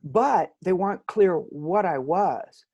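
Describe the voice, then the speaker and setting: A man's voice: high-pitched, lively intonation, slow pace, dark tone, husky, dry recording, dull audio. A middle-aged man from Hawaii, a law professor answering interview questions thoughtfully, with frequent restarts and rhetorical asides.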